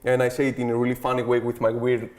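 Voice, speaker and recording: Picture a man in his thirties whose Greek accent colors every word. His pitch sits right in the middle for a man. He talks as a guest in a casual interview, eager and sure of himself, and keeps tossing in "okay" and "like" as he goes. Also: monotone, fast talker, darkish tone.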